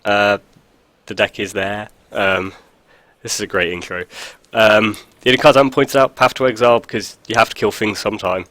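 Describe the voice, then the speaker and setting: A man's voice: lowish voice, fairly even intonation, a little fast, a bit bright, dry recording. A man with a cold, sounding stuffy, talking casually over a card game with dry jokes and lots of fillers. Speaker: video host. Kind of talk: casual gameplay commentary.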